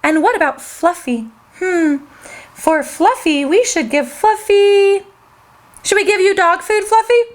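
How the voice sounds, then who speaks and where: Kids show host lady, sing-song storytime, super high voice, slow. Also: expressive.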